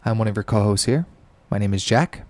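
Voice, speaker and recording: A man's voice: fairly low pitch, a little fast, a little mellow, dry recording. A man who co-hosts a podcast, opening the episode with an upbeat introduction and prompting his guest. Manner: upbeat intro, then short back-channel replies. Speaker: co-host. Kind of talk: podcast hosting and interviewing.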